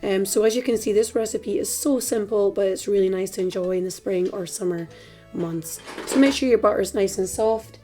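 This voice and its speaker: A Scottish woman hosting a cooking tutorial in a chatty, relaxed way, her voice a little hoarse from a dry throat. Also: medium pitch, fairly quick, faint music behind. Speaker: cooking channel host. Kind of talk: casual cooking tutorial voice-over.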